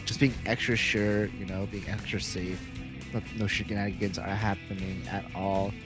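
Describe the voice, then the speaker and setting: A man casting a video game match live, playful and quick with a joke. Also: lowish voice, flat delivery, over music. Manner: joking, playful teasing. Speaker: esports caster.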